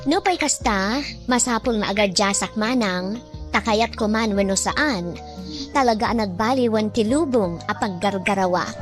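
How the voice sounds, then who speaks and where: A woman's voice: a little high, natural voice. A woman playing a young provincial student, narrating her own love story in a scripted audio drama. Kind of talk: scripted drama narration in Ilocano radio-drama manner. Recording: music under the voice. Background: background music.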